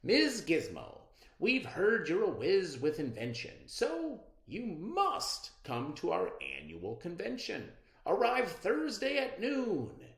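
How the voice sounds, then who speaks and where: A man's voice: sits high, wildly swooping pitch, takes it slowly, fairly bright tone, reverberant, pitched above the performer's own voice, heavily acted. A man voicing a formal, courteous official reading out an invitation in a storybook, with flowery emphasis. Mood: formal, inviting.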